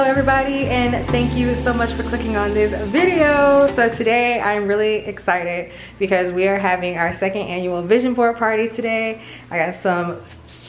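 A woman in her thirties hosting a family vlog, chatting casually and laughing often, filmed in her home kitchen. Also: a little high. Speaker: vlog host. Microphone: handheld camera at arm's length.

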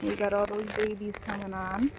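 A woman's voice: medium pitch, level tone, moderate pace. A woman beekeeper chatting and explaining as she inspects a hive outdoors, recorded on a handheld camera. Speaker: beekeeper, co-host. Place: outdoors at a backyard beehive. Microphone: handheld camera mic, crinkling gloves. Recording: outdoor hum of buzzing bees.

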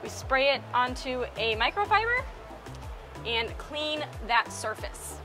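A young woman with a slightly high voice walks through a boat-coating job in an upbeat, step-by-step manner. She speaks inside a large boat warehouse on a clip-on mic. A clear music bed plays under her voice. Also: very animated, slow. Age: young adult. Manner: upbeat, explanatory, talks step by step. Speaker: co-host of a boating channel. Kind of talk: casual how-to vlog narration.

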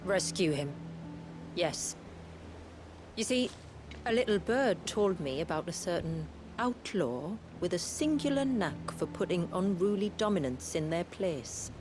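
An actress in a video game cutscene playing a proud, solemn envoy of a hidden tribe, speaking formally and with poise. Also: female voice, lowish voice, sing-song, a little slow, background noise. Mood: persuasive.

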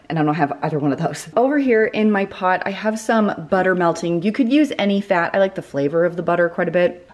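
A woman's voice: fairly low pitch, darkish tone. Chatty woman hosting a cooking vlog. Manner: chatty, laughs at herself. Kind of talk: casual cooking demonstration. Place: home kitchen.

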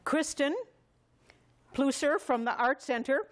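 A woman's voice: high-pitched, slow. A woman in her seventies, chatty and effusive, hosting an informal cooking demonstration. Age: 70s. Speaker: cooking class host. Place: large wood-paneled community hall.

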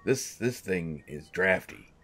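A man's voice: sits low, expressive, takes it slowly, bright, forceful tone, husky, soft background music, heavily acted. A man playing a grumpy, irritable coach who grumbles and complains defensively in an audio drama. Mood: uneasy. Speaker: voice actor.

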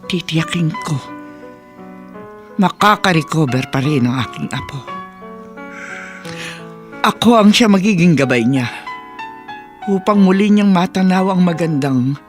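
A grieving older grandmother in a radio drama, earnest and solemn. She phrases things deliberately and stands firm, with music playing under her. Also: low voice. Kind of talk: Filipino radio drama acting.

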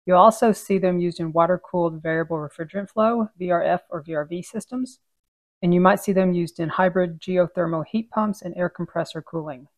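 Woman, 30s, training-video presenter; clear, measured explainer, lowish voice, dry close mic. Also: dry recording. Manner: clear, steady instructional delivery. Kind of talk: scripted technical explainer over drawings.

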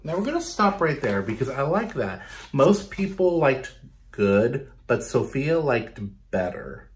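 A man in his thirties reading a picture book aloud to children, pausing to ask questions and explain, recorded in a small room. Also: medium pitch, big pitch swings. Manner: instructive, pausing to question and explain to young listeners. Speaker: children's storytime reader. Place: small bedroom, indoors.

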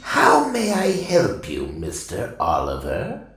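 An actor voicing a stern, solemn mystical spirit in an audio drama, speaking deliberately and lecturing. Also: medium pitch, huge pitch swings, fairly bright tone, soft background music, full character voice. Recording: heavily echoing voice.

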